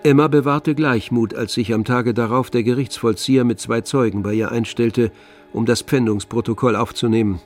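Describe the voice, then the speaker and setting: A man's voice: medium pitch, fairly even intonation, normal speed, a little mellow, faint music behind. A man narrating a literary radio drama in a scripted storytelling style.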